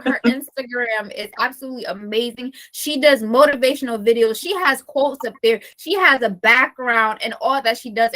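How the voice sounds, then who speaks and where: High, bright, gushing woman host. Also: poor audio. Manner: enthusiastic, gushing, praises God. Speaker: host of a faith-based women's show. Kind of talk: interview hosting. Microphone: video call.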